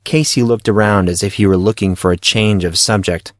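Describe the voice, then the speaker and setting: A man's voice: on the low side, fairly even intonation, brisk, no echo, studio quality. A man reading scripted narration for a fantasy audio story as its narrator.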